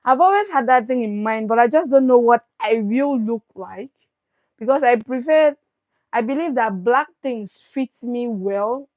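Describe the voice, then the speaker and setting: A woman's voice: fairly high pitch, a little mellow, no room sound. A young Nigerian woman with a Nigerian accent, hosting a casual live Q&A and chatting through viewer questions. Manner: chatty, reads viewer questions aloud and answers them. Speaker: livestream host.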